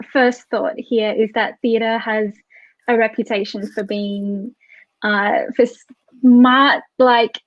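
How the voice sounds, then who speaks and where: Higher-voiced woman on a lo-fi call. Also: no echo. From Tasmania, Australia. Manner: chatty, joking, thinks aloud with restarts. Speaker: playwright and theatre maker. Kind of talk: online panel discussion. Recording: dull, lo-fi, dry video call audio.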